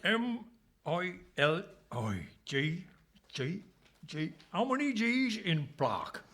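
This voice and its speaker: A man's voice: high-pitched, very animated, fairly bright tone, a little hiss or hum, exaggerated voice. A man playing a dim, bumbling, good-natured police constable in a comic radio play before a live audience. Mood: dim, dithering. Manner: slow, bumbling.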